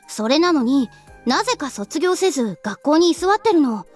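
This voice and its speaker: Very high, chatty anime schoolgirl. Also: fast, faint music behind. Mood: gossipy, explaining. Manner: animated, gossipy. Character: chatty, knowing schoolgirl classmate in an anime game. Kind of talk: Japanese visual novel voice acting.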